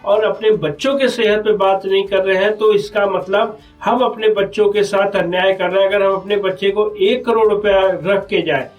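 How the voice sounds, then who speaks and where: A man's voice: high-pitched, steady tone, moderate pace, few pauses, distant mic, soft background music, poor audio. A man with a North Indian accent speaking as a doctor being interviewed, explaining health matters earnestly and persuasively, often repeating phrases.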